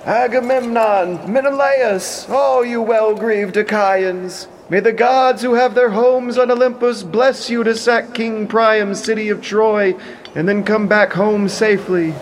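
A man's voice: high-pitched, fairly even intonation, measured pace, slight background noise, pitched above the performer's own voice. A man playing a pleading old priest in an epic audio drama, speaking in a formal, declamatory, imploring way. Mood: pleading, reverent. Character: frightened, pleading old priest begging a king and god.

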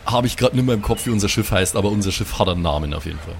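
A German man with a low voice plays an old seafarer in a live tabletop game, gruff and chummy. Faint background noise sits under the recording. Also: animated, racing. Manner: gruff, chummy, sailor talk with stage directions. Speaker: player voicing an old sailor. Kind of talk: improvised in-character roleplay.

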